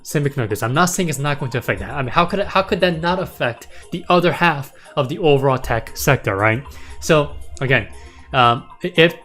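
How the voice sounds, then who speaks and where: A man hosting a stock market livestream in casual chat, his voice raspy and sore, rambling and repeating words, often tagging "right?" and "okay?". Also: a little high, animated, fairly quick, fairly bright tone, faint music behind.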